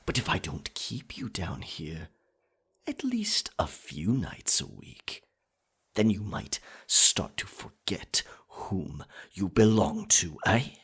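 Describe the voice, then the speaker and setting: A man playing a dominant, possessive pirate captain in an intimate ASMR roleplay, teasing and sly, with a raspy, breathy voice. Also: sing-song, fairly bright tone, full character voice. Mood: possessive menace. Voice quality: raspy, breathy, gravelly low voice. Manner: slow, deliberate, teasing pirate speech.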